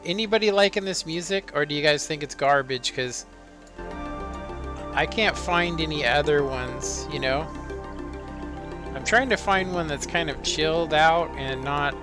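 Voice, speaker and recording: A man in his thirties, a live streamer chatting casually and rambling with lots of fillers in a monotone way. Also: on the high side, a little slow. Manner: relaxed, rambling, many fillers. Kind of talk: casual live-stream chat while playing. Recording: faint background music.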